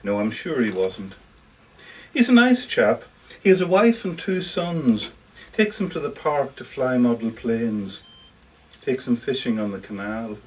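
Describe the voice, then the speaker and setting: A man reads aloud as a lonely, self-justifying older male lodger in a short story monologue. He confides in a hesitant, defensive way, with a mid-pitched voice that rises and falls a great deal. The live reading is picked up at some distance from the microphone. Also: very animated, unhurried, darkish tone. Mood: earnest, defensive.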